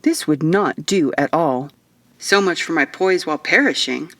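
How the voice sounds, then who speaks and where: A woman reading her own first-person fiction audiobook as narrator, in an expressive storytelling style. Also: deep voice, normal speed, no echo.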